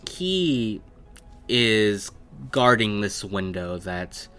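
Slow, low-pitched man running a tabletop game. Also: big pitch swings, pushed and bright. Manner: halting, piecing descriptions together phrase by phrase. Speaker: game master. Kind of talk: improvised narration of a tabletop roleplaying game. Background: background music.

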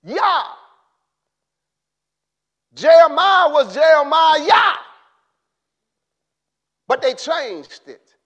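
A man preaching a sermon in an impassioned way, rising to shouts and then dropping low. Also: sing-song, very slow, pauses often, dull audio.

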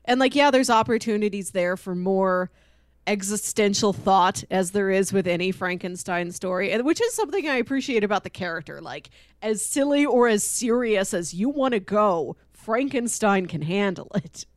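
A woman's voice: mid-range voice, moderate pace, dry recording. Casual woman podcaster, close dry sound. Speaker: podcast co-host. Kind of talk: casual comic book discussion.

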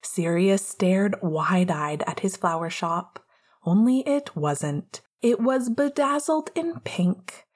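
A woman narrates fiction from a script. Her voice sits at a middle pitch with a husky, breathy edge, and she reads in the style of an audiobook. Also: expressive, slow, darkish tone.

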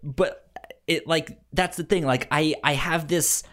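A man co-hosting a podcast in casual chat, halting and stammering over his words as he talks. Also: on the high side, fairly bright tone. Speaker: podcast co-host.

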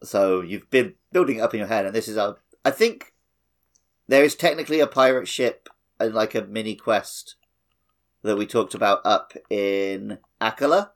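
A man casually chatting as a podcast host, animated and dryly opinionated. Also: on the low side, normal speed. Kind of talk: casual chat on a gaming podcast.

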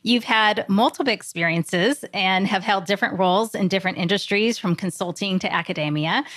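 A woman's voice: medium pitch, fairly bright tone, no room sound, clean studio sound. A woman hosting an interview podcast, moving between prepared introductions and conversational questions.

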